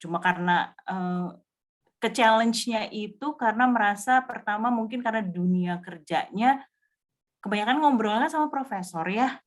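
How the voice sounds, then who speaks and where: A woman's voice: mid-range voice, moderate pace. A woman sharing her experience in a casual group chat over a video call, hesitant, with frequent filler sounds and restarts.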